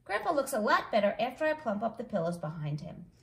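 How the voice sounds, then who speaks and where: A woman reading a children's story aloud in an expressive storytelling style, acting out the dialogue. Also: on the high side, quick pace, creaky. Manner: animated, acts out dialogue. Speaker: read-along host, storyteller. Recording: echoey room.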